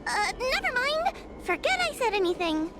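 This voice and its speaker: Very high-pitched timid young girl. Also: sing-song, normal speed, background noise. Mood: hesitant, nervous. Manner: hesitant, nervous, earnest. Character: timid, cowardly young girl spirit-hunter, anxious but earnest. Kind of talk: anime-style game voice acting.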